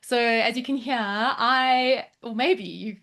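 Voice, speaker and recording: A cheerful woman with a South African accent talks in a relaxed, friendly way. Her voice sits fairly high. She laughs easily while she chats about herself over a video call. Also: takes it slowly. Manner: warm, upbeat, laughs easily. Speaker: program mentor. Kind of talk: casual self-introduction.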